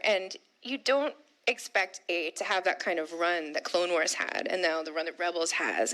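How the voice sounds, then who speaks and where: A woman's voice: medium pitch, bright, forceful tone. A woman hosting a convention panel, talking enthusiastically into a handheld microphone. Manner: enthusiastic, gushing praise. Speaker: panel host.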